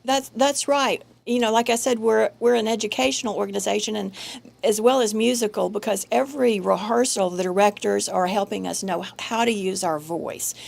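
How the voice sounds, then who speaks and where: A middle-aged woman speaks as a guest on a local radio show. She talks in a friendly, earnest way and laughs easily. Her voice sits at a middle pitch for a woman. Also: a little fast, vocal fry. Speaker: guest, chorus member. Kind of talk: casual interview. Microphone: studio microphone.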